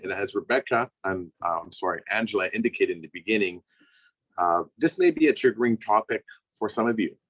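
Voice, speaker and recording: Guest speaker, male, middle-aged, raspy from a cold, low voice, calm and measured, dry close sound. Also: dry recording, low-quality recording. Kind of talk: reflective public talk.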